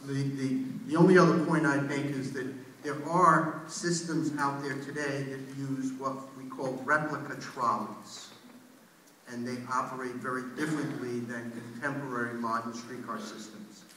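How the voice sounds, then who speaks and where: Male official, public meeting explainer, forceful tone, roomy distant sound with faint noise. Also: medium pitch, steady tone, moderate pace. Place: large indoor public meeting room. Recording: echoey hall PA, distant mic, faint noise.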